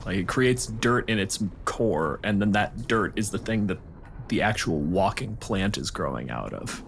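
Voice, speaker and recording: A man's voice: lowish voice, animated, unhurried. A man co-hosting a talk show, explaining things in casual conversation. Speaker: podcast co-host. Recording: clear background noise.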